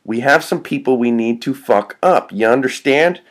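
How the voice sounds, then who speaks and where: A man's voice: medium pitch, expressive, moderate pace, voice pushed up, natural voice. A man voicing a cocky, aggressive teenage gang recruiter who swaggers and swears in a threatening way. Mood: cocky, intense.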